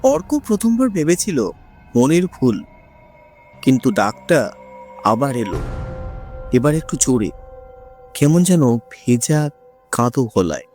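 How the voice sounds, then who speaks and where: A man narrating a horror story in a suspenseful, drawn-out, dramatic way, as the show's host. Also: medium pitch, sing-song, a little mellow, soft background music.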